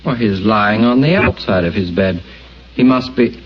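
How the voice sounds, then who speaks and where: A man's voice: lowish voice, animated, normal speed, noisy background, lightly acted. An actor playing a weary, sober man of the household who speaks in a subdued, grave way in a 1940s radio play. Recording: muffled old radio broadcast.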